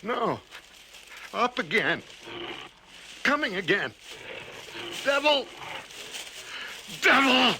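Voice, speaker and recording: An actor playing a frightened, exhausted lion hunter in a 1980 radio drama monologue, muttering to himself in halting, repeated fragments. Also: male voice, very high voice, very animated, heavily acted. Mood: panicked, defiant. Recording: noisy old radio broadcast recording.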